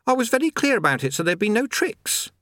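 A man's voice: big pitch swings, brisk, a bit bright, dry recording, studio quality. A man doing a whiny, frantic teenage boy. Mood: frantic whining. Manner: petulant, pleading, high and strained. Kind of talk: audiobook character voice.

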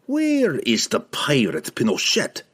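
A man's voice: medium pitch, wildly swooping pitch, slow pace, voice pushed up, full character voice. A man voicing a cruel, imperious guildmaster villain in a fantasy audiobook, theatrical and commanding, with menace in his delivery. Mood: interrogating. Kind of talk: fantasy audiobook character acting.

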